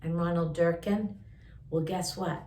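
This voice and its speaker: A woman reading a picture book aloud to young listeners, with expressive drawn-out emphasis and chatty asides. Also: on the low side, roomy sound. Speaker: children's story-time host reading a picture book aloud.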